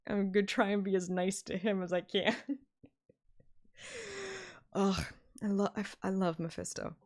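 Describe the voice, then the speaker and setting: A woman's voice: mid-range voice, little pitch movement, normal speed, darkish tone. A woman streaming a game, reading its story aloud like narration and chatting casually about it. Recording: game music under the voice. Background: game soundtrack music.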